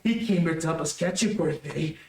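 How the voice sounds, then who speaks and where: An actor playing a treacherous, cowardly ex-convict in an excitable, theatrical old-time radio melodrama style. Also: male voice, fairly high pitch, a little fast, gravelly. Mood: gloating fury. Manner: theatrical, excitable pleading and threatening. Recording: echoey room, distant mic.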